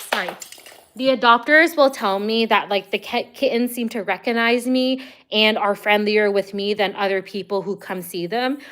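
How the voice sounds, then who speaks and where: A woman's voice: fairly high pitch, pushed and bright. A woman hosting a casual livestream, chatting as she answers viewer comments, with frequent "like" and "um" fillers. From Canada.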